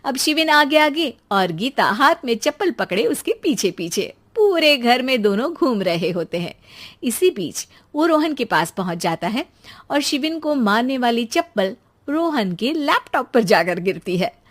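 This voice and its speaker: Lively high-voiced woman storyteller. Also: unhurried. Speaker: narrator. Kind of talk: scripted story narration.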